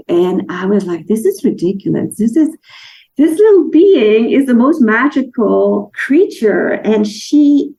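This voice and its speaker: Gravelly-voiced woman from Denmark. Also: mid-range voice, rough recording. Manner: warm storytelling with restarts and searching for words. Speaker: guest author. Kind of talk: casual interview chat.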